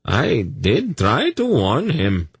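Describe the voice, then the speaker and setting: A man's voice: lowish voice, steady tone, drawn-out words, no echo, pitched above the performer's own voice. A man voicing a nervous, fawning snake keeper in an audiobook, pleading, apologetic and coaxing in manner. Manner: wheedling, apologetic, deferential.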